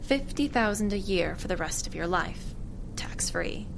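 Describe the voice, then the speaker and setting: A shrewd female government agent in an audio drama, played by an actress, controlled and faintly sardonic. Her voice sits at an average pitch for a woman, with clear ambient noise around her. She comes across as cool and evasive. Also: lively intonation, unhurried. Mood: assured, dry.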